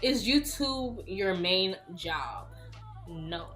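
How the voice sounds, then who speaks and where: A woman's voice: medium pitch, lively intonation, drawn-out words, fairly bright tone, soft background music. A woman in her early twenties, chatting casually and playfully through a question-and-answer vlog. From Houston, Texas. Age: 20s. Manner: chatty, playful, laughs often. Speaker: YouTuber.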